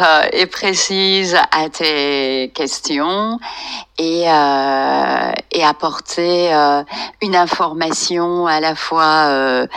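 A woman speaks at a very unhurried pace, pausing often with soft fillers as she searches for words. Her voice sits a little low yet sounds bright and clear. Calm and reflective. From Iran, raised in France. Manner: slow, hesitant, many fillers. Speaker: author and meditation guest. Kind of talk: reflective interview answers.

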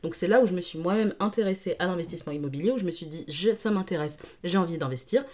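A woman's voice: on the low side, fast talker, darkish tone. A young woman hosting a podcast, explaining things in an informal, personal, conversational monologue. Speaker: podcast host.

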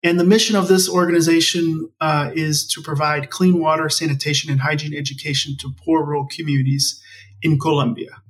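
A man's voice: fairly high pitch, level tone, a little fast. An American man in his forties, telling his personal story conversationally as an interview guest. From Maryland, United States. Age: 40s. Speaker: interview guest, nonprofit project leader. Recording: distant from the mic.

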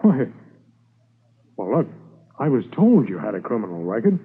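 An actor playing a polite, dogged Mountie police sergeant, formal and businesslike, in a 1950s radio drama. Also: male voice, fairly low pitch, very animated, moderate pace, breathy edge. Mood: polite, probing. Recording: noisy, tinny 1950s radio recording.

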